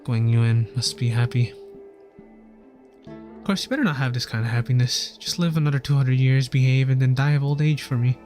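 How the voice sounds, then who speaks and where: A man's voice: medium pitch, brisk, darkish tone. A man streaming a game, reading its story text aloud earnestly and now and then stumbling over words. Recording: game music behind the voice. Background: game music.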